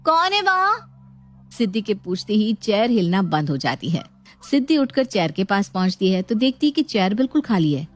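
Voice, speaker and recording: Woman storyteller over soft music. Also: mid-range voice, lively intonation, fairly quick. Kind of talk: scripted story narration.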